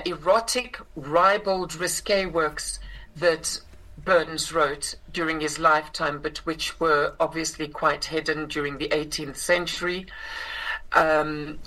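Bright-voiced South African woman. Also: sits low, rough recording. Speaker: interview guest, singer and actress. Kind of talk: conversational interview, explaining a stage show.

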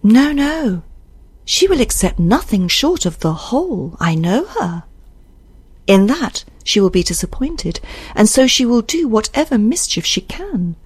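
Woman reader as strong-willed young lady, high swooping pitch, anxious, indignant, urgent, audiobook drama. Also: very animated, measured pace, a little mellow, breathy edge, no echo, full character voice. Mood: worried, insistent. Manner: urgent, emphatic questioning.

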